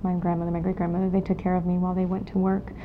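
A woman in her forties from Los Angeles, recalling her family history in an oral history interview, speaking in a reflective, halting way with many pauses. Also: lowish voice, monotone. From Los Angeles, California. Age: 40s. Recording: dull lo-fi 1992 tape with faint hiss.

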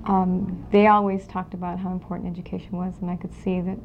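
A woman in her forties from Los Angeles, recalling her family history in an oral history interview, speaking in a reflective, halting way with many pauses. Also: lowish voice, level tone. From Los Angeles, California. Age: 40s. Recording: dull lo-fi 1992 tape with faint hiss.

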